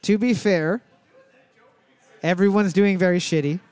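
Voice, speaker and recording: A man's voice: sits high, clear, resonant tone, dry recording. A man hosting a live trivia night, reading questions and spelling out answer choices letter by letter, joking between rounds. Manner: animated, spells out answers slowly. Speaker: trivia host.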